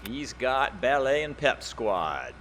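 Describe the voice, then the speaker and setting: A man hosting a gaming video, giving casual gameplay commentary, excitable and thinking aloud as he plays. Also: medium pitch, takes it slowly. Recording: faint background noise.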